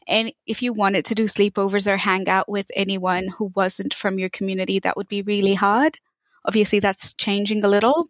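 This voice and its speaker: A woman's voice: mid-range voice, dry recording. Thoughtful woman, dry close sound. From Tanzania. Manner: thoughtful, with frequent fillers. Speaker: guest. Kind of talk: conversational interview.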